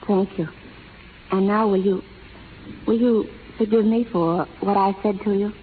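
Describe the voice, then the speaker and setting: An actress playing a gentle, earnest young schoolteacher with proper, sincere speech in an old radio drama. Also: female voice, medium pitch, fairly even intonation, unhurried, lightly acted. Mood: timid, earnest. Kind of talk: 1930s radio drama acting. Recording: tinny, noisy 1930s radio recording.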